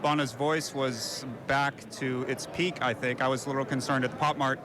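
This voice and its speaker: A man and longtime fan giving a measured, thoughtful reaction after a concert. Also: mid-range voice, level tone. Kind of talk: vox pop reaction. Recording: crowd noise outdoors.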